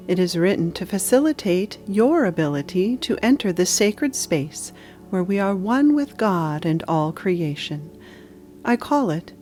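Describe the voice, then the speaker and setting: A woman narrating a guided meditation in a soothing, measured manner with deliberate pauses. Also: medium pitch, a little mellow.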